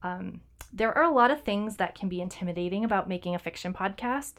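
A woman's voice: medium pitch, fairly quick, a bit bright. A woman co-hosting a podcast, chatting casually with frequent ums and restarts. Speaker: podcast co-host. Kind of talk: casual chat.

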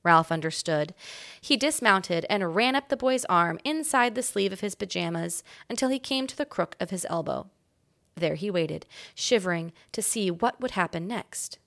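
A woman reading a children's chapter book aloud as a guest reader, with expressive storybook narration. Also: lowish voice, normal speed, no echo, studio quality.

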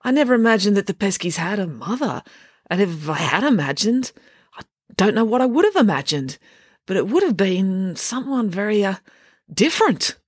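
A woman's voice: medium pitch, big pitch swings, moderate pace, husky, no room sound, clean studio sound, voice pushed up. A woman voicing a flustered, tongue-tied male school official who stammers and trails off.

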